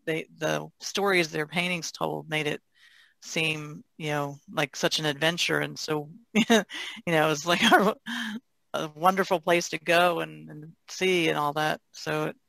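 A man with a slightly high, bright voice and a gravelly creak talks casually on a podcast panel. He sounds conversational and unscripted. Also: no echo. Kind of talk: casual discussion.

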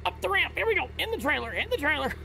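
A man's voice: very high-pitched, quick pace, creaky. Guy doing chill gameplay commentary, talks to the viewers, gets hyped now and then, faint engine hum behind. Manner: chatty, talks straight to viewers, excitable outbursts. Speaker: gameplay video host.

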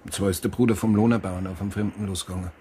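Fast-talking Bavarian policeman played by a man. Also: low-pitched, fairly even intonation, warm tone, a little hiss or hum, lightly acted. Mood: factual. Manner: factual, reporting. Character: eager male Bavarian policeman reporting an incident. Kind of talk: naturalistic German radio crime drama acting.

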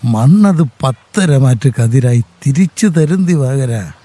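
A man playing a proud older farmer in a radio drama, with a Kerala Malayalam accent and a steady, fatherly way of talking. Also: mid-range voice, takes it slowly, dry recording. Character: proud aging farmer devoted to his land. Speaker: old farmer, husband and father.

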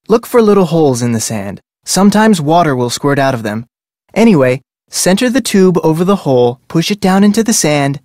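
A man's voice: high-pitched, no room sound, clean studio sound. A man voicing a chatty, salesmanlike whale-watch operator with a sly side in a video game, with a breezy, glib way of talking. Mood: cheery, promotional. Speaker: game voice actor. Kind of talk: video game character dialogue.

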